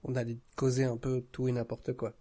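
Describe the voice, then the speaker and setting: Male podcast guest, mid-pitched and slightly rough-edged, informal and easygoing conversational delivery. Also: completely flat delivery, fairly quick, mellow. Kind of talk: casual conversational chat.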